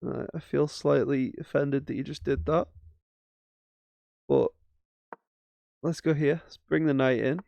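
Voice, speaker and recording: A man's voice: a little high, fairly even intonation, unhurried, creaky, long pauses, dark tone, no room sound. A man casually commentating his own chess games as he plays, thinking aloud with self-mocking asides. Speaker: chess streamer.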